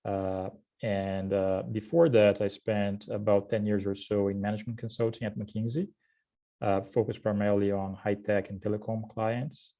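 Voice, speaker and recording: A man with a Brazilian accent, around forty, introduces himself in a relaxed way over a video call. His voice is low and soft, with little brightness. He often hesitates with "uh" and jokes at his own expense. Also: normal speed. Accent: Brazilian Portuguese. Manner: frequent uh fillers, friendly and self-deprecating. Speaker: guest speaker, head of corporate strategy. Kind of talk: casual webinar self-introduction.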